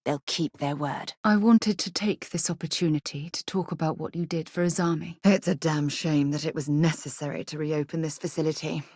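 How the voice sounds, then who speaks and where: A woman's voice: low-pitched, fairly even intonation, normal speed, few pauses, darkish tone, husky, no echo, clean studio sound. A woman playing an earnest, principled space explorer and leader in a video game, speaking in an articulate, composed way with formal phrasing. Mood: regretful, earnest. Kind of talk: video game character voice acting.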